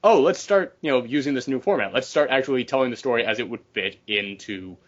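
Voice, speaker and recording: A man's voice: medium pitch, quick pace, muffled recording. A man hosting a casual panel discussion, talking in an opinionated, casually profane way. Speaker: podcast host.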